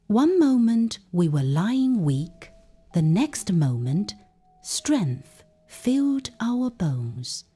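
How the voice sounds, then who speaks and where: A woman's voice: medium pitch, very animated, extremely slow, warm tone, husky, soft background music, full character voice. A woman voicing a tender, awestruck village mother in a folktale, with an emotional, wondering delivery. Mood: awed relief. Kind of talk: folktale storytelling.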